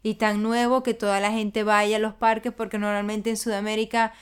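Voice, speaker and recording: A woman's voice: mid-range voice, flat delivery, moderate pace, smooth. A woman from Venezuela with a Venezuelan accent, chatting as a guest in a casual interview, often restarting and repeating words. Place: London.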